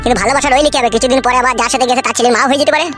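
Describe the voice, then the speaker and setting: A woman's voice: high-pitched, fairly even intonation, very fast, fairly bright tone, faint music behind. A woman voicing a blunt, cynical male friend who preaches and teases in comic cartoon dialogue. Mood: blunt, teasing. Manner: rambling, preachy, teasing.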